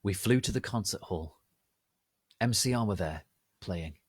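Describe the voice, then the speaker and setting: A man narrating a story as a dramatic reading, in a deliberate and theatrical manner. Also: deep voice, slightly rough, no room sound, clean studio sound.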